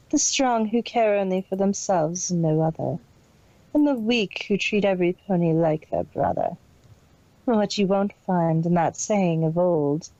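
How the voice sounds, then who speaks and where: A woman's voice: fairly low pitch, mellow, no echo, lo-fi sound. A woman voicing a cold, detached, imperious female pony villain boss in an amateur story reading. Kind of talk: amateur fan fiction audio reading.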